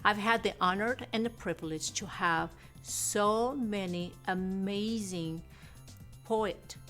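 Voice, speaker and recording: A woman's voice: mid-range voice, takes it slowly, a bit bright, soft background music. A woman from Mexico hosting a studio TV interview, gushing with effusive praise for her guest. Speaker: TV host.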